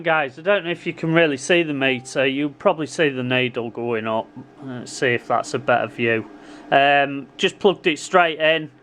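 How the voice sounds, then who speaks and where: A man with a somewhat higher-than-average voice presents a casual, hands-on repair tutorial. He speaks informally straight to his viewers, thinking aloud as he goes. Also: a bit bright. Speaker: repair hobbyist presenting his own video.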